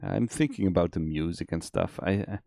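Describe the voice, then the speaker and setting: Guy w a cold, super low deep voice, dry close sound, rambles a lot w restarts. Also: normal speed, vocal fry, mellow, dry recording. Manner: rambling, many restarts, easygoing. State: has a cold, voice extra deep. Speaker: game developer streamer. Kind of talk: casual chat on a dev stream.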